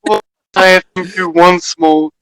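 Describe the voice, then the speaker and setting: A South African man, an Afrikaans speaker, interviewing as a radio host over a video call, with hesitant pauses and fillers. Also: high-pitched, little pitch movement, heavy background noise. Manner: warm, hesitant, with many fillers.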